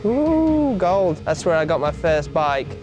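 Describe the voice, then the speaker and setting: Higher-voiced man chatting over music. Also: big pitch swings, slow pace. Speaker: BMX rider being interviewed. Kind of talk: casual interview talk. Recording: music behind the voice.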